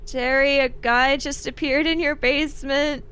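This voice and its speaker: High-voiced woman, slow and playful. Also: fairly even intonation, a bit bright. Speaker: tabletop game player. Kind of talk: casual improvised in-character chat.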